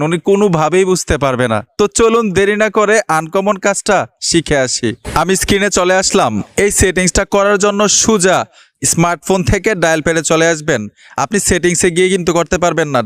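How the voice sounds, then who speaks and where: A man's voice: high-pitched, clear, resonant tone, no room sound, clean studio sound. A man with a Bangladeshi accent hosting a step-by-step phone tutorial, talking straight to viewers in an instructional way and often checking they follow. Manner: instructional, repeatedly checks understanding. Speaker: tech tutorial host.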